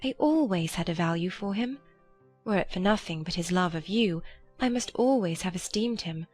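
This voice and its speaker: Woman playing a gentle, earnest young sister. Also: fairly low pitch, lively intonation, normal speed, soft background music. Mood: happy, affectionate. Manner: earnest, tender. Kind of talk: dramatized audiobook acting.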